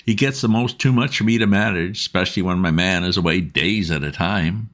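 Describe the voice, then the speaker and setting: A man's voice: low voice, fairly quick, fairly bright tone, voice pushed up. Man doing a fretful chatty boatman's wife. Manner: homely dialect, rambling. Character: stout red-faced working-class boatman's wife, anxious, chatty.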